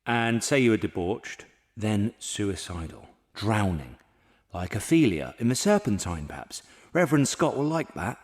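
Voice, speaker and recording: A man's voice: fairly low pitch, big pitch swings, unhurried. A man playing a brusque, formal secretary of an eighteenth-century charity asylum in an audio drama, matter-of-fact and probing. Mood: blunt, coaching. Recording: echoey hall with long reverb.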